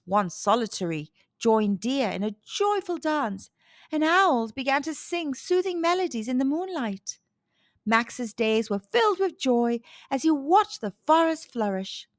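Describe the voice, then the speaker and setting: Animated, high-voiced woman storyteller. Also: no room sound, studio quality. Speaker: children's story narrator. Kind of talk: scripted storybook narration for kids.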